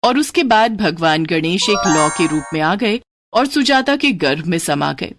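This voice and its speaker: A woman's voice: on the low side, expressive, a little slow, over music. A woman narrating a scripted moral cartoon story in a dramatic storytelling style.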